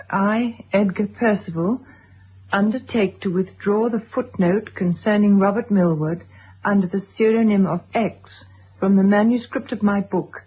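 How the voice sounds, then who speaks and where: Radio drama wife: middle-aged gentlewoman, composed but firm, distinctly husky voice, dulled old-recording sound. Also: mid-range voice, lo-fi sound. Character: composed, well-bred titled wife and mother, quietly firm.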